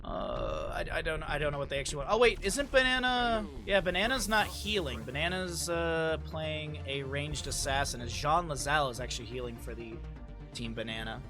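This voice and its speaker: A hoarse man casting a live-streamed esports match, chatty and thinking aloud, often correcting himself. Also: on the high side, moderate pace, music in the background.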